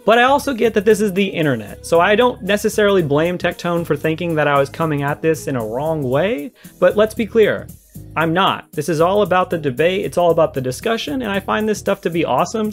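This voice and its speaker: A man with a fairly high voice talks through a casual video commentary. He argues his points conversationally and leans on key words. Soft music plays behind him. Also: animated, brisk. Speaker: video creator giving a response. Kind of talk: casual commentary to camera or mic. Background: background music.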